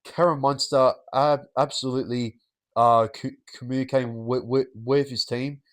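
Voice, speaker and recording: A man hosting a sports podcast, croaky from illness, ranting in a halting way with many fillers and stutters. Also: medium pitch, steady tone, no room sound. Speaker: podcast host. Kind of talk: solo sports review rant.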